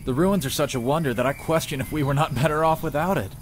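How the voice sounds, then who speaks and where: A man's voice: a little high, fast talker, slight background noise, lightly acted. A man playing a dry, matter-of-fact, well-travelled village inventor in a visual novel. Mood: proud, musing. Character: dry, worldly village man, self-taught showman inventor.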